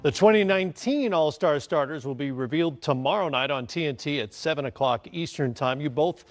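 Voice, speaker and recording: This is a man presenting a studio sports panel. His voice sits a little higher than most men's. He talks conversationally as a host, with soft music underneath. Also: fairly quick.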